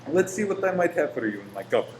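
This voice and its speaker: Man acting a smooth-mannered bird-shop professor, polite plotter, higher-pitched male voice, radio play, roomy echo. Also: sing-song, quick pace. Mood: quietly conspiratorial. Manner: polite, reassuring, unctuous. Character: courteous pet-shop professor, secretly a bomb-making conspirator. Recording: roomy echo with faint noise.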